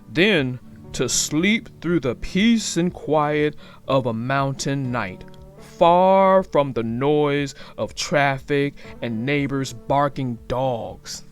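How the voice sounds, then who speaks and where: A woman's voice: deep voice, expressive, takes it slowly, soft background music, pitched below the performer's own voice. A woman voicing a boastful, enthusiastic father bear from a storybook in a grand, hearty, confident manner. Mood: dreamy, grand. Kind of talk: storybook character voice.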